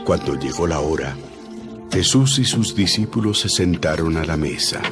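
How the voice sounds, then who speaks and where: A man narrating scripture in a measured, solemn storytelling manner for an audio drama. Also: low-pitched, expressive, music in the background.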